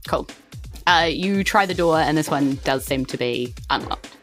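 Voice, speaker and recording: A woman with a New Zealand accent narrating a tabletop game as game master in a loose, informal, halting way. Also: low voice, a bit bright, faint music behind.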